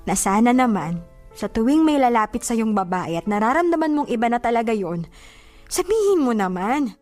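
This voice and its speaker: A hurt young girlfriend played by a woman in a radio drama, jealous and reproachful. Her high voice moves animatedly up and down as she insists, with faint music behind her. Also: animated, moderate pace, a little mellow, close to an everyday voice. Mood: hurt, pleading.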